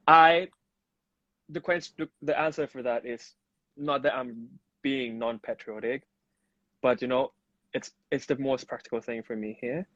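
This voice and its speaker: A chatty young man from Thailand, a native Thai speaker, talks loosely in a casual live-stream chat, restarting and dropping fillers. His mid-pitched voice sits close and dry, and the stream audio sounds dull and lo-fi. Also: no room sound. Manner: chatty, many restarts and "you know" fillers. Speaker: guest, actor.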